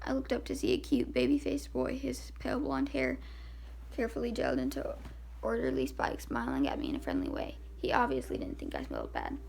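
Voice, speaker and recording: A woman reading a first-person novel aloud as an amateur audiobook narrator, with a very creaky, gravelly voice. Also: fairly high pitch, wildly swooping pitch, brisk, a little mellow, a little hiss or hum, rough recording.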